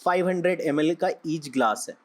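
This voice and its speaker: A young man, slightly hoarse, teaching a trading lesson in a conversational way, often checking in with his listeners. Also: a little high, a little fast. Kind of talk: explaining lesson with analogies.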